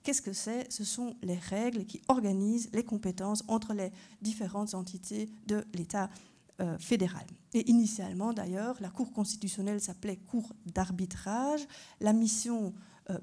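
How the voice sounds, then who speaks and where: A woman from Belgium, a native German speaker, gives a formal conference lecture as a constitutional court judge. Her voice is mellow and warm, and she sits at an ordinary pitch for a woman. She sets out her points in an orderly way and often asks a question before answering it. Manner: structured explanatory delivery, poses rhetorical questions.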